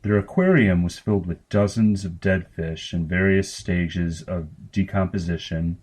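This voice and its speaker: Male story reader, low pitch, scripted narration, grotesque comic fiction. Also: dark tone, rough recording.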